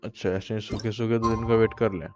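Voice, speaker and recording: Very fast-talking Indian man streaming. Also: on the low side, little pitch movement, muffled recording, faint music behind. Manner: informal, mixes in English phrases. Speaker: game live streamer. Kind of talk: casual live stream chat.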